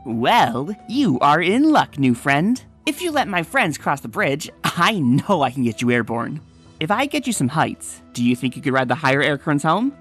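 A woman's voice: deep voice, big pitch swings, normal speed, breathy edge, soft background music, exaggerated voice. A woman voicing a small, plucky and helpful desert creature in a cartoon comic dub, earnest and encouraging. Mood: eager.

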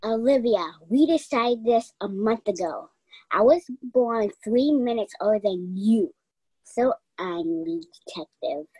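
A woman's voice: on the high side, expressive, measured pace, dark tone, husky, dry recording, low-quality recording. A young woman playing a self-important, competitive female detective in a radio mystery play. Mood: bickering.

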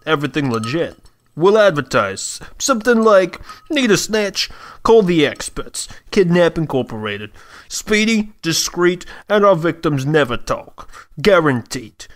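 A man's voice: fairly high pitch, very animated, measured pace. An actor playing a scheming, self-satisfied gangster boss with a gloating salesman's delivery, in radio adventure style. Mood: smug.

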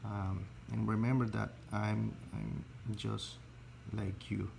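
A man with a Spanish accent, Spanish his first language, teaching an electrical calculation slowly step by step, halting and restarting phrases as he searches for English words. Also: fairly low pitch, flat delivery, gravelly, a little mellow, slight background noise. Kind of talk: slow step-by-step tutorial.

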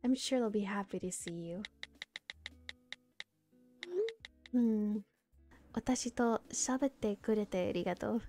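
Woman playing a soft, mellow, bashful older sister. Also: mid-range voice, normal speed, faint music behind, close to an everyday voice. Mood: warmly teasing. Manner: gentle, giggly, bilingual English and Japanese. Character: friendly, bashful, teasing Japanese-speaking older sister. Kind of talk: soft ASMR roleplay, casual chat.

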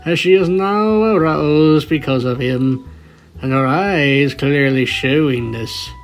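A man's voice: a little high, slow pace. A man reading a scripted story aloud as its narrator. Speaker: narrator of a fan fiction reading. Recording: music bed behind the voice.

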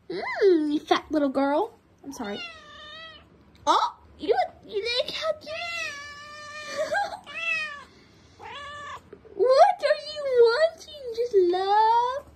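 A woman's voice: very high-pitched, background noise. A young woman giving a casual tour of her barn, chatty and giggly, cooing at her cats, recorded on a handheld phone indoors. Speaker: vlogger. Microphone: phone held in hand.

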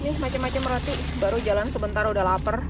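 A woman's voice: fairly high pitch, fast talker, recorded from a distance, heavy background noise. A woman travel vlogger chatting casually in a car on a foggy drive, laughing often and exclaiming playfully. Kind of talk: casual chat on a road trip. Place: inside a car driving through fog.

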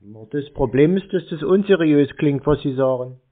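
A man's voice: a little high, normal speed. Obstinate man on a tinny phone line. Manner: obstinate, questioning, teasing. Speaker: prank caller baiting phone scammers. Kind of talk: phone conversation.

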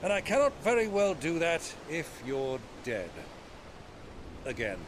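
An actor playing a jovial, grandiloquent middle-aged warrior uncle in a video game cutscene. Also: male voice, a little high, very animated, a little slow, background noise. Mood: earnest, teasing. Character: hearty, theatrical gray-bearded warrior uncle. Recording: clear sea and ship ambience behind.

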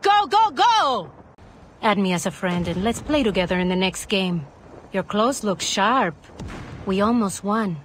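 Casting: young female game soldier, tough and determined, mid-pitched, clipped commands over ambient noise. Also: big pitch swings, a little slow, a bit bright. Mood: shouting, urgent. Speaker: playable operator in a mobile shooter. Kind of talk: video game combat voice lines.

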